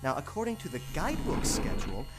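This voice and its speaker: An actor playing a timid, overworked power operator in an audio drama, stammering, nervous and apologetic. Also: male voice, on the high side, wildly swooping pitch, fast, music in the background. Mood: anxious, apologetic. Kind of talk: theatrical audio drama acting.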